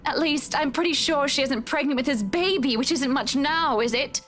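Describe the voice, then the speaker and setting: A woman's voice: high voice, normal speed, a bit bright. An actress playing an outspoken, sophisticated married woman in a visual novel game, with an articulate, dramatic delivery. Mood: hesitant, bitter. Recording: music behind the voice. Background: music.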